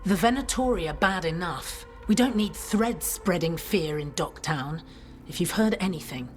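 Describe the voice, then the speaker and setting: A woman's voice: on the low side, lively intonation, normal speed, close to an everyday voice. An actress playing a stern, dutiful templar officer in a video game, terse and businesslike, with music behind her.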